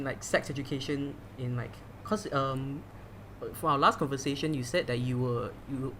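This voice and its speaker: A man's voice: medium pitch, a little hiss or hum, rough recording. A man from Malaysia hosting a casual podcast chat, talking loosely with many restarts. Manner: chatty, many fillers and restarts. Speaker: podcast host. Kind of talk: casual conversational interview.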